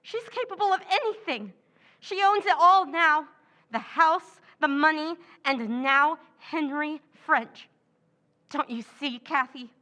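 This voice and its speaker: A woman's voice: sits high, takes it slowly, pushed and bright, exaggerated voice. A woman playing a scheming, contemptuous sister in an old-time radio drama, with a cold, cutting delivery.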